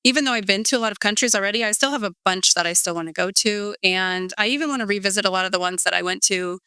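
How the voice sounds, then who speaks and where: A woman's voice: mid-range voice, fast, bright, forceful tone, smooth, no echo. Chatty Californian woman in her forties. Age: 40s. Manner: chatty, rambling, many fillers like um and like. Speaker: guest. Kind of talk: casual interview storytelling.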